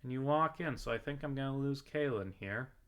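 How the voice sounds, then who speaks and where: A man's voice: medium pitch, fairly quick, clean tone. A man giving casual video game commentary, rambling and thinking aloud with the odd swear word.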